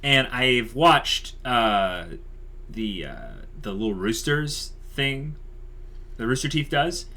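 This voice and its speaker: Guy doing a casual youtube update, medium pitch, really into it and stressing his points. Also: slow, sharp tone. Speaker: YouTube channel co-host. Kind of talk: casual unscripted channel update chat.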